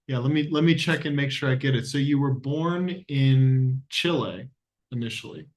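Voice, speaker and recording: A man's voice: mid-range voice, moderate pace, a little mellow. Earnest hesitant male podcast host. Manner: earnest, hesitant, with frequent restarts. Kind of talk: conversational interview. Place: lives in a Latin American country.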